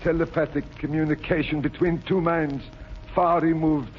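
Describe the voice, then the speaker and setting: An actor playing a learned captive psychiatrist, formal, measured and solemn, in a 1930s radio drama. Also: male voice, a little high, flat delivery, natural voice. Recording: dull, hissy 1930s radio recording.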